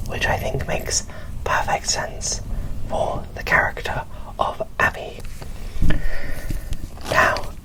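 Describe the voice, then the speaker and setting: A woman whispering in a breathy, barely voiced ASMR style, presenting dolls with gentle, deliberate phrasing into a close microphone. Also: low-quality recording. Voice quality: breathy whisper with little voicing. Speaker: doll collector presenting an unboxing.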